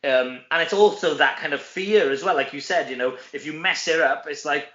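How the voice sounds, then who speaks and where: Welsh male interview guest, middle-aged, bright and fairly high voice, rambling chat, echoey room. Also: a little fast. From Wales. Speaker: interview guest, stage actor. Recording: roomy echo, dull lo-fi audio.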